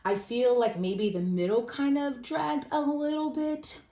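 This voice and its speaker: A woman's voice: high voice, steady tone, a little slow, mellow. Woman chatting casually in an echoey room. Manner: informal, self-deprecating, conversational. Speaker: book vlogger. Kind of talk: casual book review chat to camera. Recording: roomy echo.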